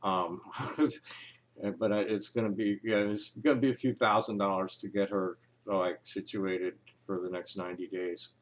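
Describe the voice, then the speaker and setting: A man making a casual phone call, talking informally in a rambling, halting way. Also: low voice, steady tone. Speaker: caller.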